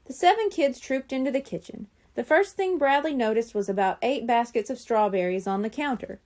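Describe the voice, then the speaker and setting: Woman reading a kids chapter book out loud, expressive, bouncy pitch. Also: fairly quick. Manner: expressive storytelling. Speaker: audiobook reader. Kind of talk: children's book read-aloud.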